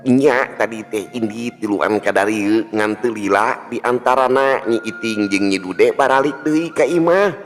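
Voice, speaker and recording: A man's voice: on the low side, measured pace, hardly any pauses, pitched above the performer's own voice. A man with a Sundanese accent voicing a worried, humble old village father in a folk tale. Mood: anxious recounting. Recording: long hall reverb with music.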